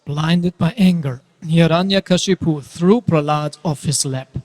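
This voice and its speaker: A long reverb follows this male narrator's somewhat high voice. He reads a scripted sacred story aloud, shaping each phrase with deliberate, storytelling emphasis. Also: darkish tone. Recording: reverberant hall.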